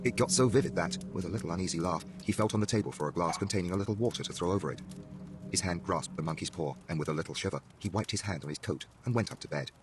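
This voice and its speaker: A man's voice: low-pitched, rapid-fire, music in the background. A man narrating a horror story in a dramatic, scripted audiobook style.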